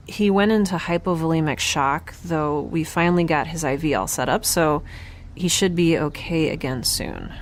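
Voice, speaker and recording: A woman playing an exhausted, injured but determined young scientist in an audio drama, speaking in a halting, wry way that trails off mid-thought. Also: low-pitched, a little hiss or hum. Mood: weary, businesslike. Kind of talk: audio drama log monologue and dialogue.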